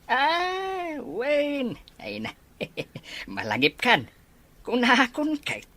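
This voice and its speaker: Babai nga agak-akto iti naparaw a lakay nga ama. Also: female voice, high-pitched, very animated, drawn-out words, bright, forceful tone, heavily acted. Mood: inquisitive, amused. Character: elderly protective Filipino father, teasing and inquisitive. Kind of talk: Ilocano audio drama acting.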